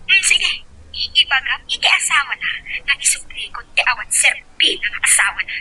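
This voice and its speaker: A woman plays a scornful young wife in a radio love drama, with a very high, piercingly bright voice. She swings about animatedly, curt and full of complaint. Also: big pitch swings, moderate pace, exaggerated voice, low-quality recording. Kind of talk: amateur radio-style love drama acting.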